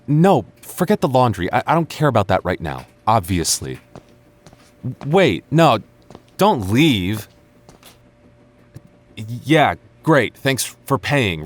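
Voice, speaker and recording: A man playing a defensive, teasing young childhood friend with a secret crush, stammering and bickering in an intimate boyfriend roleplay. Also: fairly low pitch. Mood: agitated, pleading. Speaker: ASMR roleplay voice actor.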